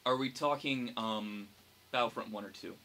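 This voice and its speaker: Very sharp, bright young man. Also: medium pitch, moderate pace. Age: young adult. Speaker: podcast panelist. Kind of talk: casual gaming discussion. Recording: noisy amateur podcast recording.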